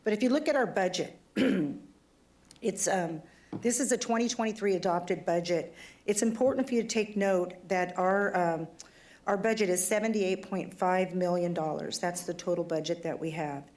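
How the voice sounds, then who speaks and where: A woman police chief with a fairly low voice, briefing a city council. Her throat is giving out and she sounds hoarse as she talks. She speaks plainly and conversationally, though the setting is formal. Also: normal speed, darkish tone. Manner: conversational and plainspoken, some fillers. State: losing her voice, throat trouble.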